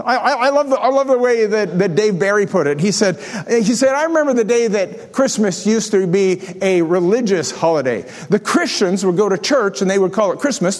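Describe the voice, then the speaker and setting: A man in his sixties preaching a sermon, reading scripture and telling self-deprecating jokes. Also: high-pitched. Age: 60s. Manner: storytelling, self-deprecating humor. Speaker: pastor. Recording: echoey hall with long reverb.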